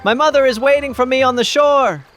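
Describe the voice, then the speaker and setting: A man voicing a brave, eager little boy calling out loudly in a fairy tale. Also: very high-pitched, fairly quick, faint music behind, voice pushed up.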